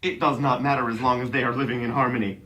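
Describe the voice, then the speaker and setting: A man's voice: medium pitch, fairly even intonation, quick pace, bright, forceful tone, far from the mic, poor audio. A man giving a mock-serious dramatic reading of clumsily written text for comedy. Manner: tends to break into giggles.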